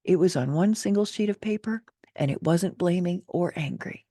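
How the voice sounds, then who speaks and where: A woman's voice: fairly low pitch, normal speed, dark tone, no room sound, clean studio sound. A woman reading her own personal story as its author, in a candid, wry, conversational way with casual asides. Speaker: author and narrator. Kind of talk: expressive personal memoir reading.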